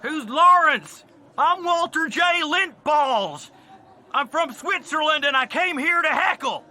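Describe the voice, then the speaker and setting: Shrill, high-pitched rowdy male heckler. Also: lively intonation, measured pace, exaggerated voice. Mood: rowdy glee. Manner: loud, jeering, crude. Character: rowdy, drunk, boastful male heckler claiming to be Swiss.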